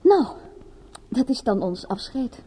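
An actress playing a bored, guilty young fashion model in a radio drama, with a breathy, languid, confiding delivery. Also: female voice, fairly high pitch, big pitch swings, slow pace, mellow, exaggerated voice. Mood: light, wistful. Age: young adult. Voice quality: husky, breathy. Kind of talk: radio drama acting in Dutch.

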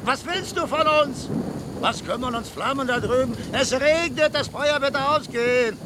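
A man playing a wary, indifferent figure in a German radio drama, challenging and dismissive. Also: normal speed. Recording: loud stormy rumble behind the voice.

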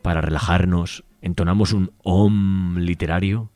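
A man's voice: deep voice, steady tone, measured pace, warm tone, dry recording. A man with an accent from Spain hosting a radio show in casual, informal, joking chat.

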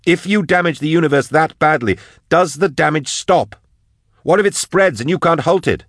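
A man voicing an outspoken, indignant male scholar who challenges and yells sarcastically in an audiobook story. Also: medium pitch, sing-song, normal speed, dry recording, studio quality, pitched above the performer's own voice, lightly acted. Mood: furious.

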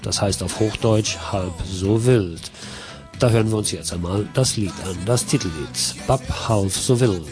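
Low-voiced male radio host over music. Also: measured pace. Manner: informative, announcing tracks. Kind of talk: radio music show presenting.

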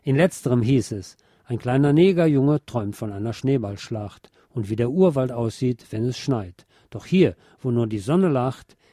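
A man reading a scripted documentary narration in a measured, articulate way. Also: mid-range voice, expressive, dark tone, dry recording, studio quality.

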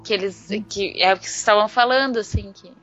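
A Brazilian woman chatting informally as a podcast panelist, explaining ideas in an animated, slangy way. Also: medium pitch, fast. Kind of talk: casual discussion of ideas. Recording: dull lo-fi online audio, music underneath.

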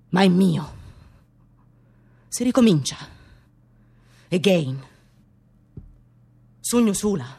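A woman's voice: on the high side, exaggerated voice. An actress playing a lone, anguished working woman with a Sicilian accent, in an incantatory poetic radio monologue. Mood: weary, desperate. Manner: incantatory, repeating short phrases.

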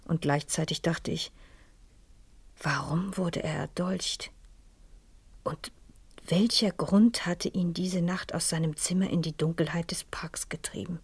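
Husky, low-voiced older widow. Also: female voice, steady tone, a little slow, pauses often, no room sound, full character voice. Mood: mournful. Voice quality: husky, breathy. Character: shaken, lonely older widow, genteel spa guest. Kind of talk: German radio crime drama acting and narration.

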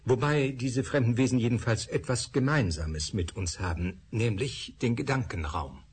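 An actor playing a male scientist explaining findings deliberately in a radio play. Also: mid-range voice, breathy edge.

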